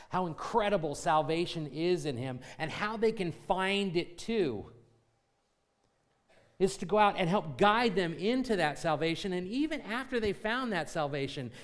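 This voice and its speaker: A man preaching a sermon as pastor of a church, moving from quiet reflection to rising, emphatic exhortation with repeated phrases and rhetorical questions to the congregation. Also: high voice. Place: church sanctuary.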